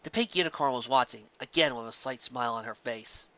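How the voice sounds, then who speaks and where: Fan-fiction narrator, bright, forward tone, scripted storytelling, somewhat muffled lo-fi home recording. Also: mid-range voice, a little fast.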